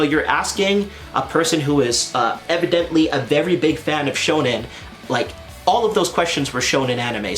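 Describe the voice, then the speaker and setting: A man's voice: medium pitch, a bit bright. A man in his thirties from Canada, with a Canadian accent, chatting casually and rambling with lots of "like" and "you know". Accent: Canadian English. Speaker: anime vlogger. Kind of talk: casual vlog chat. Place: in Japan.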